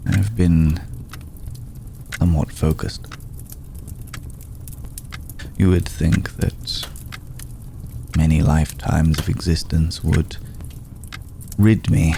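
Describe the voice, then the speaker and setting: A man playing an ancient, aristocratic male vampire in an ASMR roleplay, formal, courteous and brooding, with a soft, gravelly voice and deliberate pauses. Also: rumbling low, fairly even intonation, a little hiss or hum, heavily acted. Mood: gentle concern. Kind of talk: ASMR roleplay, slow intimate acting.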